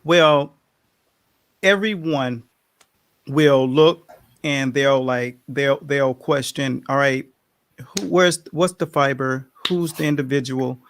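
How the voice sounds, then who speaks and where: A man's voice: medium pitch, slow. Emphatic male interview host. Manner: emphatic, animated, frequent affirmations. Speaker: show host. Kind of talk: interview hosting.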